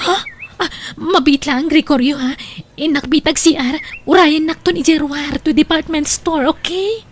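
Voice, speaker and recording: A woman's voice: high-pitched, steady tone, normal speed, soft background music, close to an everyday voice. A woman acting a scheming young girlfriend in an audio drama, with a Filipino accent. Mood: sweet. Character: scheming, money-demanding young girlfriend, sweet to suitors. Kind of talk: Ilocano radio drama acting.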